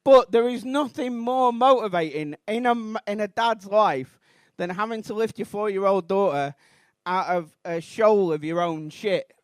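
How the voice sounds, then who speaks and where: A Mancunian man in his thirties doing a rambling, self-deprecating stand-up routine on a comedy club stage, bursting into shouted outrage. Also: sits high, a little slow, few pauses, clear, resonant tone, no echo, clean studio sound. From Manchester, England.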